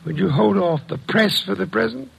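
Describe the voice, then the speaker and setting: An imperious private detective from a vintage radio mystery. His voice is high for a man, husky and full of fry. He speaks in formal, sardonic phrases, condescending to everyone. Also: normal speed, a bit bright, heavily acted. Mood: dry, needling. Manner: pompous, droll, formal, chuckling condescension. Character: imperious, sardonic, portly middle-aged male private detective. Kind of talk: 1940s-1950s radio detective acting.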